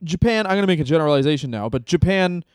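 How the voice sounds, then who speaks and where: Chatty man with a smooth, dry voice. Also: fairly high pitch, expressive, very fast, darkish tone, no room sound. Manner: rambling, heavy use of "like". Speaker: podcast co-host. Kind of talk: casual comedic chat.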